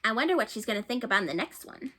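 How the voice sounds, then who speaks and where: High-voiced woman reading a picture book. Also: very animated, racing, bright, forceful tone, slight background noise. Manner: chatty, asks listeners questions, thinks aloud. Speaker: storyteller reading to children. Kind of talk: picture book read-aloud with asides to listeners.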